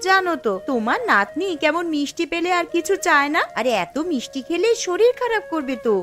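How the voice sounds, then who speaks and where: A woman's voice: extremely high pitch, sing-song, a little fast, a bit bright, exaggerated voice. A woman voicing a bossy married daughter and housewife in a Bengali moral-story cartoon, exclaiming and insistent. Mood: fussing, welcoming.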